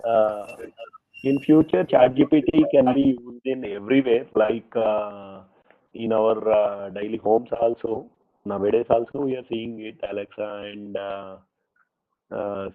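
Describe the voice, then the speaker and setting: An Indian man with a lowish voice presents a lecture and answers questions over thin, phone-like call audio. He sounds a bit congested from a cold and speaks hesitantly, full of fillers and polite "sir"s. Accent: Indian English. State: voice affected by fever and cold. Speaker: presenter at an online faculty workshop. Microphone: online meeting audio.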